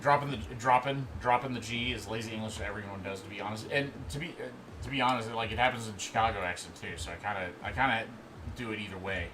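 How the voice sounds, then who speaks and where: Rambling lower-voiced male stream host. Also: fast talker. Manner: relaxed, rambling, answers chat as he goes. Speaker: livestream host. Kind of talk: casual chat reading viewer comments. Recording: steady background noise.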